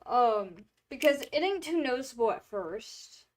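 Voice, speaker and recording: A young woman streamer in casual chat, rambling with many fillers and restarts, her throat a little dry and hoarse, talking from a room at home. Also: fairly high pitch, very animated, measured pace. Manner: rambling, chatty, lots of filler words and restarts. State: throat drying, slightly hoarse.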